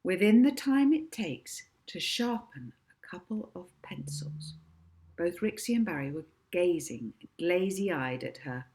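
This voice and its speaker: A woman narrating a children's novel as its author, in an expressive audiobook style. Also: lowish voice, unhurried.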